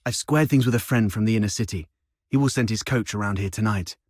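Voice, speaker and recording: An actor playing a worldly, streetwise schemer in a video game cutscene, with a wry, conversational way of talking. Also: male voice, on the low side, fast, no echo, studio quality, close to an everyday voice. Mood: wry, confident.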